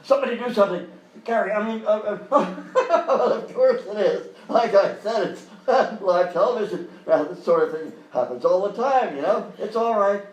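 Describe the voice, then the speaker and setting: An actor playing a flustered, falsely cheery children's TV host who stammers and hems, in a live radio-style comedy sketch. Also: male voice, sits high, animated, moderate pace, highs cut off. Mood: exasperated, panicky. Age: middle-aged or older. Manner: stammering, hemming and hawing, exaggerated. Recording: roomy live stage, distant mic, faint noise.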